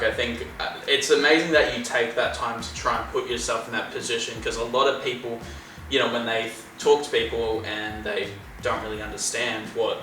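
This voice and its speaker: A man's voice: mid-range voice, bright, forceful tone. A man hosting an interview podcast, chatting casually and enthusiastically, often calling things incredible. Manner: enthusiastic, keeps saying "incredible". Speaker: podcast host. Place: room in a home. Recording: very echoey room, mic at a distance.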